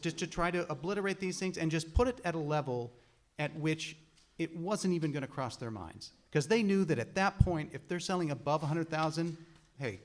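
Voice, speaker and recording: A man explaining a proposal earnestly as a panelist at a studio Q&A. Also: a little high. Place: studio room with an audience.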